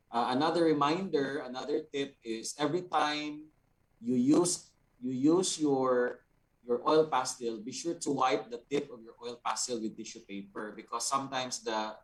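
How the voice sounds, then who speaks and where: A man's voice: medium pitch, poor audio. Filipino man explaining patiently, step by step. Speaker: art instructor answering participants' questions. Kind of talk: unscripted teaching, practical question and answer. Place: remote video call. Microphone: video call.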